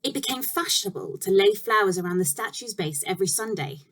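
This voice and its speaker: A woman reads a children's storybook aloud at a medium pitch. Her delivery is measured and expressive, with clear phrasing and deliberate emphasis on important words.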